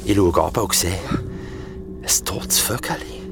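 A man with a Swiss German accent playing an ordinary, excitable guy who retells a horror date in an audio drama, colloquial and vivid. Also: low-pitched, measured pace, very noisy. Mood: uneasy. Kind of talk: audio drama first-person storytelling. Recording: studio audio drama with music underneath.

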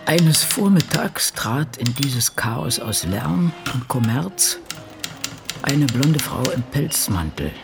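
A solitary, brooding old woman writer in a radio play. The pitch moves freely through reflective diary entries and reminiscences, with music behind. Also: big pitch swings, takes it slowly, gravelly, full character voice. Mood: musing, remembering. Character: Patricia Highsmith, ageing woman crime novelist, solitary and brooding. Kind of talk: literary radio drama, diary monologue.

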